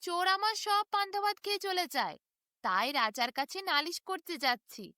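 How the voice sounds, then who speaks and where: A woman's voice: very high-pitched, normal speed, sharp tone, dry recording, studio quality, voice pushed up. A woman voicing an aggrieved, determined old village woman in a storybook tale, plaintive and scolding.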